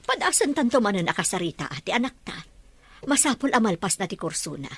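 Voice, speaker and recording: A woman's voice: mid-range voice, sing-song, fast talker, slightly rough, dry recording. A woman playing a caring middle-aged aunt and mother in an Ilocano radio drama. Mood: concerned.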